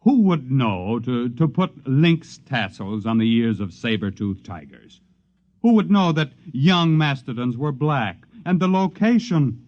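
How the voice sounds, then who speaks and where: A man's voice: mid-range voice, sing-song, measured pace, dry recording. An actor playing an earnest, insistent male researcher in a 1950s radio drama. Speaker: Les, project advocate. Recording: dry lo-fi 1950s radio broadcast.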